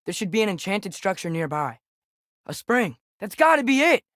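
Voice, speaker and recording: A man's voice: sits high, very animated, moderate pace, fairly bright tone, no echo, studio quality. A young male actor playing an earnest, restless teenage boy adventurer with an American accent in a video game. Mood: curious, thoughtful. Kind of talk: video game character dialogue.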